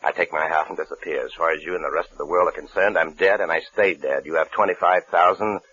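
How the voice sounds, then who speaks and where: An actor playing a cool, scheming fugitive husband who narrates wryly in a 1950s radio suspense drama, with an American accent. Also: male voice, deep voice, quick pace, pushed and bright, husky, exaggerated voice. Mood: calm, calculating. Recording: muffled old radio recording.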